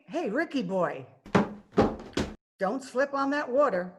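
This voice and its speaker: A woman's voice: high-pitched, lively intonation, slow, background noise. Woman playing a brassy, wisecracking aging scrubwoman. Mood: cheeky. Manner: brassy, joking banter. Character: brash, wisecracking aging scrubwoman, tough and sporty. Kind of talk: old-time radio comedy-drama acting. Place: at home, recorded remotely.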